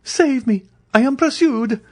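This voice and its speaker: A man's voice: sits high, expressive, moderate pace, a little mellow, breathy edge, voice pushed up. A man voicing a desperate young male fugitive who pleads wildly and then turns insolent. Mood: pleading. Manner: frantic, pleading, later insolent.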